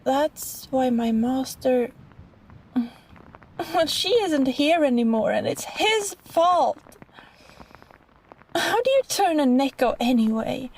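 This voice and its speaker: A woman voicing a sweet but possessive catgirl vampire in an intimate roleplay monologue, soft and halting, pleading, with small sighs. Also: high-pitched, animated, full character voice, low-quality recording. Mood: wistful, self-pitying.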